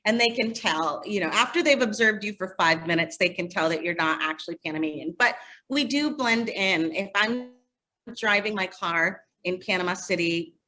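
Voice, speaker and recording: A woman answering interview questions in a casual, animated storytelling way. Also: medium pitch, moderate pace, rough recording. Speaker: interview guest, magazine editor.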